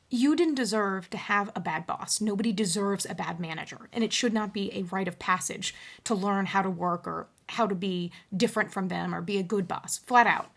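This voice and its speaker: Conversational woman coach with a husky edge. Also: medium pitch, a bit bright. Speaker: HR coach, show host. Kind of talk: improvised one-sided roleplay coaching call.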